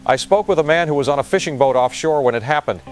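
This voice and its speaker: A man's voice: a little high, expressive, fast, lightly acted. A man playing a shaken field correspondent. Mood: grave urgency. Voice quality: urgent, grave. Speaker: news correspondent. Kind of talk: audio drama news report.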